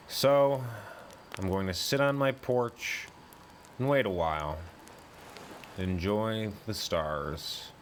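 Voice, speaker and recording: A man narrating a first-person horror story in a deliberate, brooding, suspenseful way, as in a dramatic audiobook. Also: lowish voice, slight background noise.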